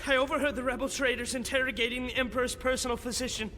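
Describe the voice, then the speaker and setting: An actor playing a brave, loyal wounded soldier in a radio drama, speaking in an urgent, strained, declamatory way. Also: male voice, extremely high pitch, steady tone, racing, background noise. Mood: grave, urgent. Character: wounded, brave, loyal imperial guard bearing grim news. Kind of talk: scripted radio drama acting.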